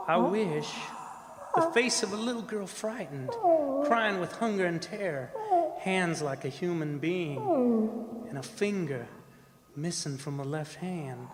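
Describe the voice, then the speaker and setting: Guy acting obsessed old oil driller telling a scary story, halting and tormented, radio horror play, big echoey hall w/ some noise. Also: on the high side. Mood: horrified awe. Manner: dramatic, halting, anguished storytelling. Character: haunted, obsessed older oil driller confessing a horror tale. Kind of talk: old-time radio horror monologue performed on stage. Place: performing arts center stage. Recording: reverberant stage hall with noise.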